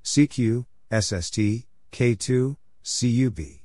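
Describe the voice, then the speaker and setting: A man's voice: medium pitch, slow, dark tone, no room sound, clean studio sound. A man reading out call signs and short exchanges for Morse code practice, spelling them letter by letter in brief phrases.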